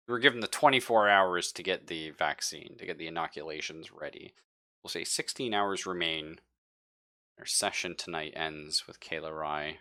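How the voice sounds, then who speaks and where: A man's voice: low-pitched, pushed and bright. A man narrating as game master of a tabletop roleplaying game, thinking aloud casually and hesitantly. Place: live online stream.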